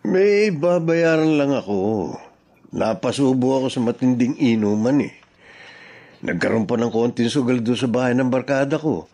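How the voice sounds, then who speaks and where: A man's voice: mid-range voice, normal speed, mellow, natural voice. A man playing a selfish, philandering, gambling husband in a Filipino radio drama, with a Filipino accent. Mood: demanding.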